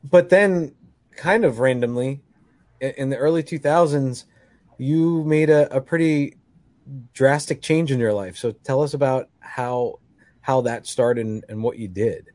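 A man's voice: mid-range voice, unhurried, a little mellow, dry recording. A man hosting a talk radio show, introducing a guest and asking friendly, leading questions. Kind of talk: radio interview. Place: radio studio.

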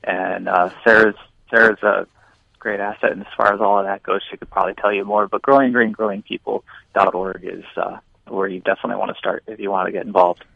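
Gravelly man on a dull line. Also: on the low side, fairly even intonation, fast, dry recording. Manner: friendly, filler-heavy explaining. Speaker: guest. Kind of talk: casual interview talk.

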